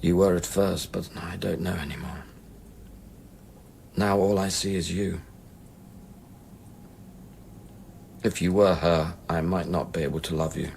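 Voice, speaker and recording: A man's voice: deep voice, fairly even intonation, moderate pace, vocal fry, a little mellow, slight background noise. An actor playing a brooding, guilt-ridden scientist in a radio drama, terse and subdued in his lines and narration. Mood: guilty, tender. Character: anguished, guilt-ridden male scientist haunted by lost love. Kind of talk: radio drama acting with first-person narration.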